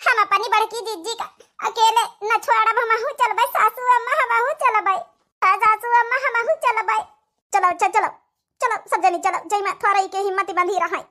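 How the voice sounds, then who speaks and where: A woman's voice: very high voice, sharp tone. A woman voicing dramatic, exclamatory village in-laws in a cartoon comedy, with an Awadhi accent. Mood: anxious, urging. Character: scheming young daughters-in-law and fretting mother-in-law, village family.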